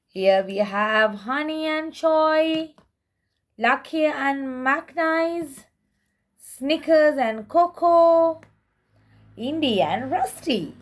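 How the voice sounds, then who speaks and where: A woman presenting and reading picture books for toddlers, speaking simply and deliberately, word by word. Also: sits high, a little hiss or hum. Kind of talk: slow read-aloud for toddlers.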